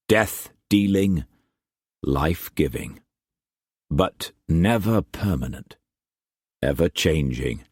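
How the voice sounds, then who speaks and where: A man voicing a shrewd, fatherly older Scottish trader who speaks in a measured, gruff way. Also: very deep voice, darkish tone, dry recording, clean studio sound, heavily acted. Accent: Scottish put-on.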